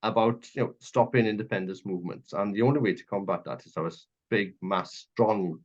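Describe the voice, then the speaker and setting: A man with a Welsh accent and a fairly low voice hosts a conversational interview. He talks emphatically, building long chains of clauses. The audio is somewhat dull and muffled. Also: fairly quick. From Wales. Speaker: radio interview host.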